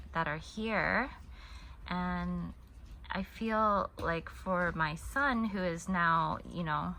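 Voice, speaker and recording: A woman in her thirties talks casually at home while she crochets, wandering from thought to thought and restarting her sentences. Her voice is bright and edged with fry. A faint room noise sits behind her. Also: fairly low pitch. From United States. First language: Korean. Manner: rambling, chatty, many restarts. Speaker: crochet channel host. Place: home in Hong Kong.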